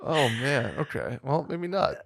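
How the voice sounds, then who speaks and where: Hoarse, nasal man, casual chatter. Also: mid-range voice, very animated, a little slow, a bit bright, dry recording, studio quality. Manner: rambling, filler-heavy, upbeat. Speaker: podcast co-host. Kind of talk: casual chat.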